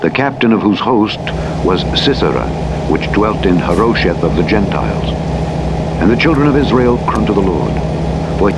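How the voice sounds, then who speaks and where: A man narrating the Bible as an audiobook in archaic English, with a solemn, measured, formal delivery. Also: low voice. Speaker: scripture narrator. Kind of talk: scripted Bible audiobook reading, King James English. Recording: vehicle engine noise throughout. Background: truck driving noise from a simulator game.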